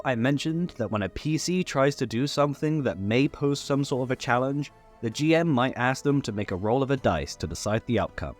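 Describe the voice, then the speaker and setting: A man hosting a tutorial, reading a scripted explainer in an instructional way with lightly playful asides. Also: medium pitch. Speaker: host of a tabletop game tutorial.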